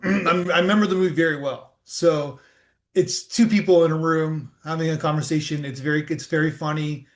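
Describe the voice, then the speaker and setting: A man hosting a podcast interview, asking relaxed and encouraging questions with filler words. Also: fairly high pitch. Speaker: podcast host.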